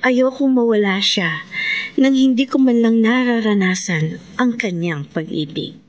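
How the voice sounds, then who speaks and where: An actress playing a devoted, gentle young woman hopelessly in love, in an earnest, plaintive Filipino radio drama style. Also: fairly high pitch, unhurried, highs cut off, lightly acted. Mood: anguished pleading.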